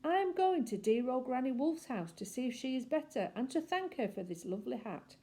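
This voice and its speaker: A woman's voice: sits high, very animated, a little fast, warm tone, pitched above the performer's own voice. A woman voicing a sweet, polite little boy wolf in a storybook reading, talking in an eager sing-song way. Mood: cheerful.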